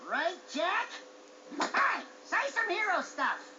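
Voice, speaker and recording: A small cowardly wisecracker, pitched very high and bouncing all over. It is brash and whiny in video game cartoon style, in dull audio with clear background noise. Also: male voice, sing-song, full character voice. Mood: nervous bravado. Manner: brash, whiny, fast-mouthed. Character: small, mouthy, cowardly wisecracking male sidekick creature. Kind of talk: animated game cutscene acting.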